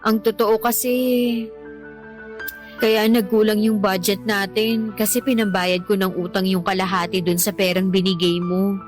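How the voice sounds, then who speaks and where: A woman's voice: medium pitch, level tone, unhurried, lightly acted. An actress playing a defensive, easily irritated live-in girlfriend in a Tagalog radio drama, whining and argumentative. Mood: uneasy confessing. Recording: dramatic music bed behind the voice.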